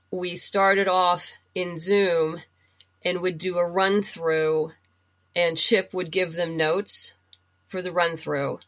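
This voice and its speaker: A woman from the United States with a slightly low voice, speaking as an interview guest. She explains things in an informal, conversational way. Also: fairly bright tone. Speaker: interview guest, writer and producer. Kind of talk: casual interview chat.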